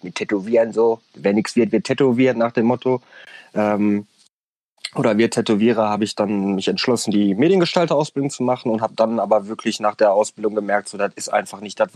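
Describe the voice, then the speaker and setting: A man's voice: mid-range voice, darkish tone, no echo. Chatty German-speaking tattooist in his twenties. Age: 20s. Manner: chatty, rambling, many ähm fillers, laughs often. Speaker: tattoo artist, podcast guest. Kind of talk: casual chat over a voice call. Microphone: voice call.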